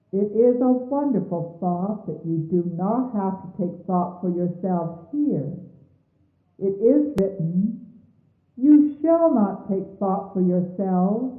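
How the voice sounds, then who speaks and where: Female religious leader, solemn devotional scripture reading, measured delivery, thin phone-like 1970s recording in a very echoey space. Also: mid-range voice. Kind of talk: devotional reading of transcribed sermons.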